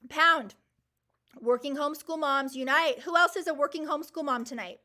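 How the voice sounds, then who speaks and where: Bright, high-voiced woman host. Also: normal speed. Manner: enthusiastic, emphatic, reassuring. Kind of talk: live Q&A answering viewer comments.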